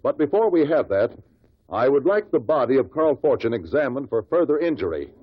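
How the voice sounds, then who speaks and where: Husky, probing radio-drama investigator. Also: male voice, mid-range voice, big pitch swings, a little fast. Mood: pointed, formal. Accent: American. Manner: formal, pointed questioning. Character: probing, courteous male amateur investigator at an inquest. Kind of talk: 1950s American radio drama acting. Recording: dull lo-fi 1950s radio recording.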